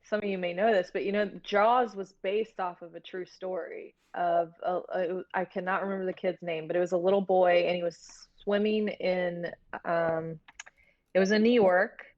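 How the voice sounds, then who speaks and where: A woman's voice: lowish voice, fairly even intonation, moderate pace. A woman guest on a podcast, chatting casually in an informal, slangy way. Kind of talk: casual chat. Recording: dull lo-fi stream audio.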